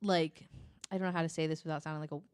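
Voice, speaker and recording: Female co-host, slightly low voice, informal and halting conversational delivery, dry sound. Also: steady tone, a little mellow, clear, resonant tone, no room sound. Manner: halting, searching for words, informal with occasional swearing. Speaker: podcast co-host. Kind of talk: casual podcast chat.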